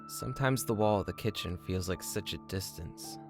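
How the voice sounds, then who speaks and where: Man playing a low-voiced, shy lovestruck young man. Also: normal speed, over music. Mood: wistful. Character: shy, lovestruck college-aged male kitchen worker. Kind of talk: scripted audio story acting.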